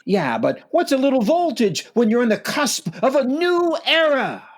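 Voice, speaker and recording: A man's voice: extremely high pitch, big pitch swings, moderate pace, exaggerated voice. A man playing a self-absorbed, trend-chasing music agent in a comedic radio play, breezy, smug and dismissive.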